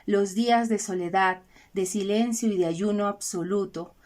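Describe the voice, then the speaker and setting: Gentle Peruvian woman hosting a faith program. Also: medium pitch, fairly even intonation. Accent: Peruvian Spanish. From Peru. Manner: gentle, addresses listeners directly, slows for emphasis. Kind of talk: reflective spiritual talk with readings.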